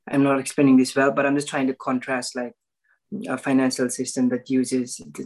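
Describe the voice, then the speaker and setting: A man's voice: medium pitch, gravelly, a little mellow, low-quality recording. A man from Nepal, new to a meditation group, thinking aloud in a casual discussion and searching for words. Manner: thoughtful, searching for words, frequent ums and rights.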